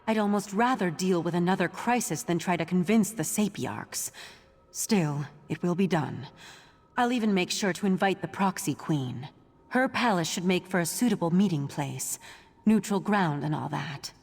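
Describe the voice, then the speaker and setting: Dry-witted elven aide for fantasy game. Raspy edge, businesslike, dutiful, wry; long reverb on the voice. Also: female voice, fairly low pitch, animated, moderate pace. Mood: wry, brisk. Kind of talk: scripted fantasy game dialogue.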